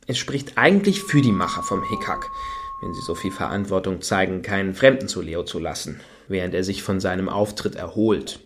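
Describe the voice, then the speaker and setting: A man's voice: low-pitched, huge pitch swings, fairly quick, fairly bright tone, slight background noise, full character voice. An actor in a radio play voicing a wry, skeptical man who makes dry, pointed comments.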